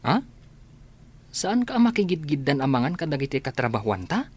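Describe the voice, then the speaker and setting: A man's voice: fairly high pitch, sing-song, moderate pace, slightly rough. A man with an Ilocano accent playing a meek, dutiful office employee in a radio comedy drama. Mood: mild, respectful.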